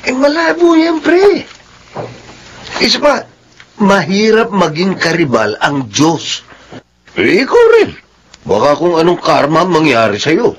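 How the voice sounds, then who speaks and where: A man's voice: high voice, very animated, a little slow, pauses often, slight background noise. A man playing a skeptical, teasing male buddy in a Filipino drama. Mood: teasing, doubtful. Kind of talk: radio drama dialogue.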